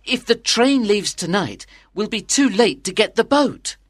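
A woman's voice: medium pitch, sing-song, measured pace, no room sound, studio quality. A woman voicing an anxious, guilt-ridden manservant in a dramatized audiobook, earnest and worried. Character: Passepartout, anxious guilt-ridden male servant.

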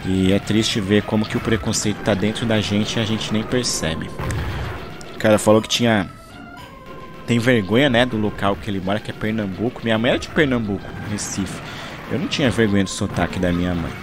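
A man's voice: lowish voice, a little fast, over music. A man talking casually over his gameplay footage, rambling and searching for words, from Brazil. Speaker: gaming channel host.

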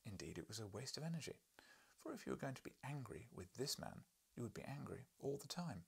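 A man's voice: mid-range voice, sing-song, brisk, vocal fry, breathy edge. A man, reading literary narration aloud as an audiobook reader. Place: small book-lined living room, indoors.

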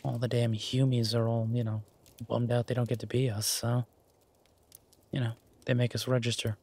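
Male, mid pitch, husky and unhurried: a man playing an affectionate, easygoing werewolf boyfriend for romantic audio roleplay. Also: little pitch movement, a little mellow, natural voice. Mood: playful, affectionate.

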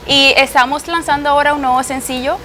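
A woman's voice: high voice, steady tone, fairly quick, pushed and bright, slight background noise. A young woman from Cuba with a neutral Latin American Spanish accent, chatting casually as a guest in a TV studio. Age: young adult. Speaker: singer, interview guest. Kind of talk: casual chat. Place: indoor TV studio.

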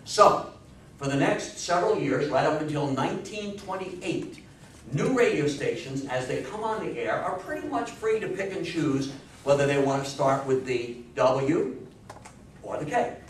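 A very reverberant recording from a distant microphone, with faint noise, of a man in his sixties lecturing. His voice sits a little high for a man. He speaks emphatically and in a folksy style, drawing his listeners in with questions. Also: animated, a little slow. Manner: emphatic, folksy, prompting the audience. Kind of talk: animated public history lecture with audience questions. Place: small meeting room with seated audience. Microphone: distant camera microphone.

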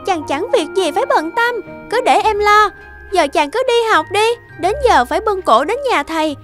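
A young toad wife in a children's cartoon. She speaks in a high voice, gently reassuring and devoted to her husband. Music plays behind her. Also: lively intonation, normal speed. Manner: reassuring, deferential. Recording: music under the voice.

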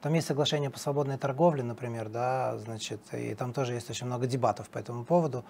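Middle-aged male analyst, emphatic, rapid delivery, slight rasp, lapel mic in studio. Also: medium pitch, steady tone, a little mellow, slight background noise. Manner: emphatic, many fillers and restarts. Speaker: political analyst guest. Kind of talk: studio interview.

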